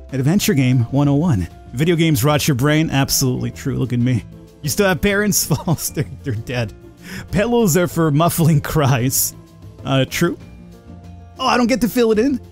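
Let's player, male, slightly high voice, animated, casual commentary. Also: normal speed, soft background music. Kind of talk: casual game commentary, reading text aloud.

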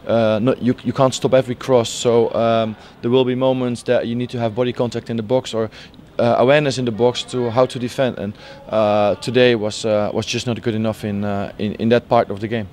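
A man in his forties, a football coach, answering post-match interview questions outdoors by the pitch, halting with many fillers and restarts. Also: level tone, a little mellow, slight background noise. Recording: faint outdoor stadium ambience.

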